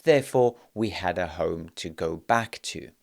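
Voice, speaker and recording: A man in his thirties from South Africa and Poland, hosting a podcast and telling his life story in a measured, reflective way, pausing often on "uh". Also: deep voice, lively intonation, vocal fry, darkish tone. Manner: measured, reflective, with frequent "uh" pauses. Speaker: podcast host. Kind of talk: personal storytelling monologue.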